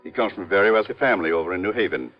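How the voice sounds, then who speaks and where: A man's voice: low-pitched, soft background music, natural voice. Man playing an earnest, formal American family lawyer. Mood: earnest, regretful. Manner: measured, formal, emphatic. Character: earnest family lawyer, loyal and sure of his client. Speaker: actor. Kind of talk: 1950s American radio drama acting. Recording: muffled old radio broadcast recording.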